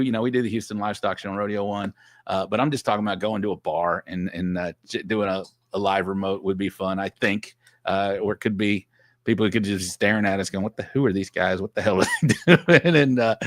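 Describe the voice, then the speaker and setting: A man's voice: on the low side, a little fast, no room sound, studio quality. A man from Texas chatting casually on a livestream from his home office, rambling with lots of restarts and easy laughs. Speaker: guest podcast host.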